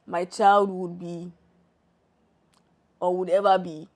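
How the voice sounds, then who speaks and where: A woman's voice: lowish voice, little pitch movement, slow pace, darkish tone. A young Nigerian woman co-hosting a casual podcast, chiming in and trailing off mid-thought. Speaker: podcast co-host. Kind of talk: casual chat between best friends.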